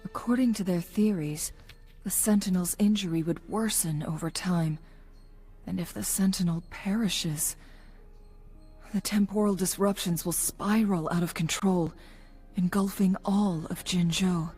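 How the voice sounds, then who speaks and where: A woman voicing a composed, analytical young female guide in a video game, speaking in a measured, serious, explanatory way. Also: lowish voice, steady tone, warm tone, husky, soft background music. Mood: grave, thoughtful. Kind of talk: scripted video game dialogue.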